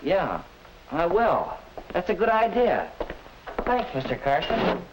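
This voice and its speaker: An actor playing an earnest, polite young student who thinks aloud, in a scripted 1950s educational film with a hissy vintage soundtrack. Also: male voice, high-pitched, huge pitch swings, takes it slowly, full character voice. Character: earnest teenage male student, polite and reflective.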